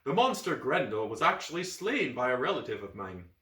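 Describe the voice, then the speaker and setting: A man's voice: mid-range voice, sing-song, fairly quick, sharp tone, reverberant, recorded from a distance. A man voicing a brash young warrior boy from the past who speaks in old-fashioned heroic phrases. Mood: bashful.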